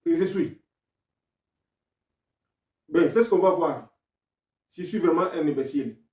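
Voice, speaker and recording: A man's voice: a little high, a little slow, darkish tone, roomy sound, recorded from a distance. Argumentative man with a non-native French accent. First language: not French. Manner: argumentative, insistent, explaining step by step. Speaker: self-taught grammar commentator. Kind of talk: explanatory video lesson.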